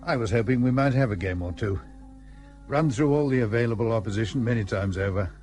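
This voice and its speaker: An actor playing a hearty, pompous British colonial host with old-fashioned clipped phrasing in a period radio play. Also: male voice, medium pitch, brisk, darkish tone, soft background music, close to an everyday voice. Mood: hearty, sociable. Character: blustery, sociable British colonial husband and host.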